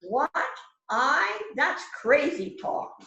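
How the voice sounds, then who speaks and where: A woman's voice: high-pitched, very animated, extremely slow, vocal fry, pushed and bright, full character voice. A woman playing an indignant, gossipy murder suspect in an amateur mystery reading, with theatrical exclamations. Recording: echoey online call.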